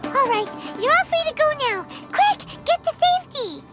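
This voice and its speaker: Squeaky sassy fairy sidekick, very high, lively, game. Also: female voice, over music. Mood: urging. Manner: sassy, quick, teasing. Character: tiny chatty female fairy companion, sassy. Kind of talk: video game voice acting.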